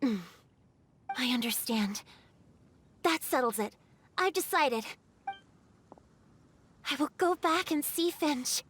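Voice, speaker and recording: An actress playing a gentle, wistful female water spirit in a fantasy game, speaking softly and earnestly in a measured, tender way. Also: high-pitched, expressive, slight background noise. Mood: resolved, earnest. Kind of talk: scripted fantasy game dialogue. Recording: studio voice over background music.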